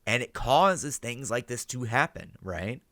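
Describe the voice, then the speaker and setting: A man's voice: medium pitch, animated, measured pace, a bit bright, no echo. Man giving off-the-cuff commentary, close dry sound. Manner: ends statements with "right?" as a check; thinks aloud, swears casually. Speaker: reaction video host. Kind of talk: casual off-the-cuff reaction commentary and analysis.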